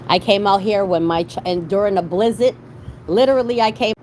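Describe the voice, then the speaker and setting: A woman's voice: mid-range voice, fairly quick, a little mellow. A middle-aged woman from Boston chatting casually as a livestream host, with a salty, slangy way of talking. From Boston, USA. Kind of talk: casual live chat with viewers. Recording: clearly noisy background.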